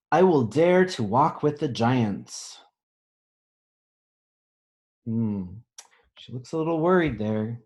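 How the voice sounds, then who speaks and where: Slow-paced man reading to children. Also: darkish tone. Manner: pauses to pose questions and think aloud; simple explanations pitched at young children. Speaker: elementary school teacher reading aloud. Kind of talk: children's picture book read-aloud with explanations.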